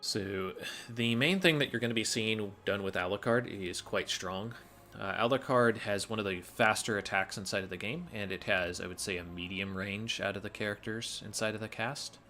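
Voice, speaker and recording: A man commentates on a video game run, explaining as he goes. His voice sits somewhat low yet has a bright, forward edge, with light music underneath. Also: normal speed. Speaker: speedrun commentator.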